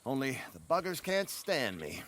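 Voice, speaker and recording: A man's voice: mid-range voice, very animated, normal speed, a bit bright, a little hiss or hum. A man playing a genteel, self-deprecating nature photographer in a video game, polite and wordy. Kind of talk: video game cutscene acting.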